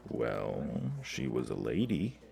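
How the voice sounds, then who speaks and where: An actor playing a blunt, sarcastic, folksy blind key maker with a gravelly voice in an audio drama. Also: male voice, very deep voice, very animated, takes it slowly, mellow, heavily acted. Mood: chatty, wry. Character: gruff, sardonic blind male key maker, chatty and blunt.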